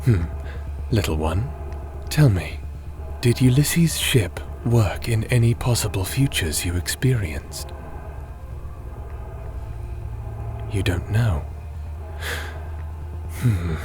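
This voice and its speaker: A man playing a gentle, cautious fantasy companion in an ASMR roleplay, speaking in a measured, soothing, slightly formal way. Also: fairly low pitch, expressive, noisy background. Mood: thoughtful. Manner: measured, soothing, slightly formal, sometimes breathy near-whisper. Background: music.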